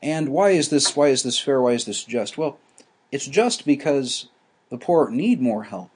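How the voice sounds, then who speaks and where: A man teaching a class, explaining ideas slowly with frequent restarts and fillers. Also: medium pitch, expressive, breathy edge. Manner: halting, many restarts and fillers.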